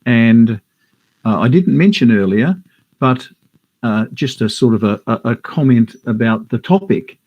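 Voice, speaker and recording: A man's voice: medium pitch, animated, measured pace, lo-fi sound. An Australian man from Western Australia hosting from his home office, chatty, with many fillers and restarts. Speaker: host. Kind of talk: casual live-stream commentary.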